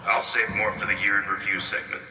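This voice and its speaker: An actor playing a grizzled, wry frontline war correspondent giving a deadpan field report for a sci-fi radio drama. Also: male voice, on the low side, fairly quick. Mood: grim, wry. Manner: deadpan, dryly sardonic. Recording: roomy long reverb, distant, music behind.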